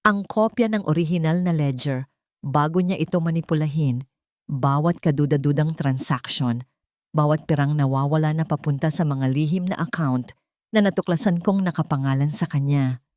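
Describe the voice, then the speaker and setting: A man's voice: on the high side, normal speed, a little mellow, no room sound, clean studio sound, natural voice. A woman voicing an old, betrayed but loyal fisherman in a Tagalog story, speaking with earnest grief. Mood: resolute.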